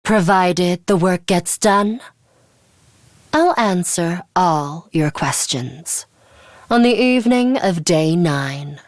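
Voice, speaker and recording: Stern, slow-spoken, low-voiced young woman. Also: animated, no room sound. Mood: cool, commanding. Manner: curt, cool, deliberate, commanding. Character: stern, aloof, commanding young woman, a student fighter. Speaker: voice actress. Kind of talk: scripted video game character lines.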